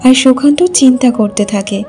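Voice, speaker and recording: High, mellow-voiced woman storyteller. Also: brisk, soft background music. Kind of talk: scripted cartoon folk-tale narration.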